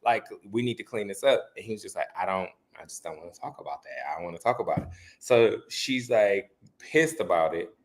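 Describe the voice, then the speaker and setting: A man's voice: lowish voice, lively intonation, a little fast. A young man from the Midwest, a guest in a casual chat about reality TV, gossiping and acting out the voices of people he quotes.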